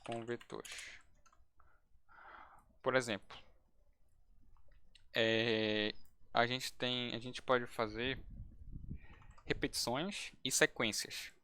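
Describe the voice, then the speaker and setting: A man's voice: medium pitch, fairly even intonation, very slow, pauses often, pushed and bright, slight background noise. A man teaching a live coding lesson, talking casually and thinking aloud with restarts. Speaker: instructor.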